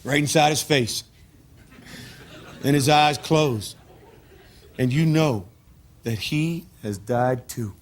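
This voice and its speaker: An actor playing a rough, volatile brother in a stage drama, telling a story with folksy, emphatic repetition. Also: male voice, medium pitch, slow, long pauses, close to an everyday voice. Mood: intense storytelling. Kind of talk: naturalistic filmed stage-play acting.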